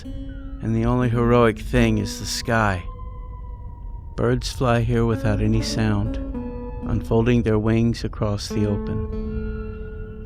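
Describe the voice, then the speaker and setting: Solemn male poetry reader, lowish voice, slow delivery, plucked strings underneath. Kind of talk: poetry recitation. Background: plucked guitar music.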